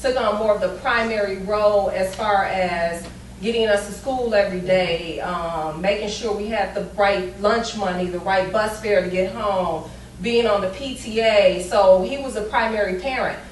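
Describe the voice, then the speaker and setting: A quiet, soft-spoken woman speaking calmly in a casual group discussion. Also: mid-range voice, normal speed. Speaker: panelist in a women's discussion circle. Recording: echoey room picked up from a distance, faint background hum.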